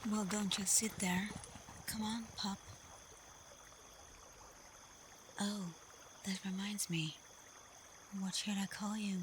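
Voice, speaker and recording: Casting: actress for female werewolf pack leader, ASMR roleplay; unhurried, hushed, assured, warmly teasing and protective, mid-pitched. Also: little pitch movement, background noise, natural voice. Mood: playful, commanding. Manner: soft, breathy, often whispered, unhurried. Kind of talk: slow ASMR roleplay addressed to the listener.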